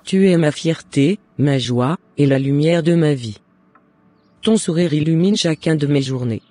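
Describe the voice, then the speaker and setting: A man's voice: a little high, expressive, a little slow, mellow, no echo, faint music behind. A man playing a loving, devout mother in a cartoon, giving earnest and encouraging advice in formal words. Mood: tender, proud. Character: devout, loving mother advising her son. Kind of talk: scripted cartoon dialogue.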